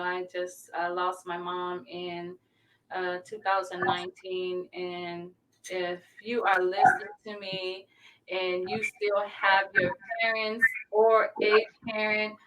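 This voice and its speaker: A woman from Samoa, a guest in a casual interview chat, who talks in an expressive way and laughs often. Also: lowish voice, slow pace. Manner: warm, laughing, expressive storyteller. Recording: distant mic with background noise.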